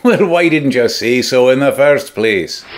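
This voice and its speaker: An actor playing a cocky, hearty police sergeant with an Irish accent in an old-time radio drama. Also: male voice, mid-range voice, expressive, a little slow, very noisy, natural voice. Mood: cocky, curious.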